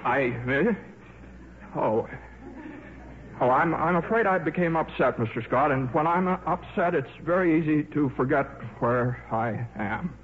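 An actor playing an absent-minded, single-minded middle-aged scientist in 1950s radio drama, halting and fussy, repeating himself and easily flustered. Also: male voice, fairly high pitch, animated. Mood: flustered, apologetic. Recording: narrow, noisy 1950s radio recording.

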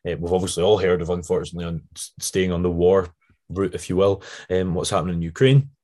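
Very low, croaky Scottish man. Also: fairly even intonation, fast, darkish tone. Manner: chatty, rambling with frequent tangents. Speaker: podcast host. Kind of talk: casual podcast introduction.

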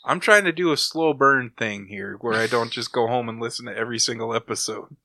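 A man in casual chat, jokey and quick to tease. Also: medium pitch, pushed and bright, no echo, clean studio sound.

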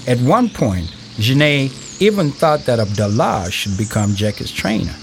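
A man reading scripted narration for an audio play in a measured storytelling way. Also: medium pitch, big pitch swings, a little hiss or hum. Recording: music behind the voice. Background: music.

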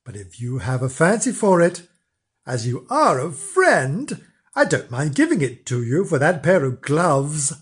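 A storybook reader voicing a shrewd trading man who obligingly offers a swap, in an unhurried tone. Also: medium pitch, sing-song, slightly rough.

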